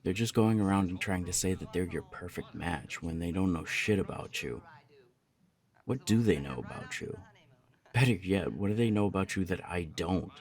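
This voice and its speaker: A very low, gravelly voice for a young punk roommate , acted by a man, jealous and easily flustered. The delivery is intimate roleplay audio, halting and confessional, with a hot temper under it and plenty of cursing. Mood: jealous, indignant. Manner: confessional, halting, swearing, emotionally worked up. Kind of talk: ASMR roleplay audio drama, one side of a dialogue.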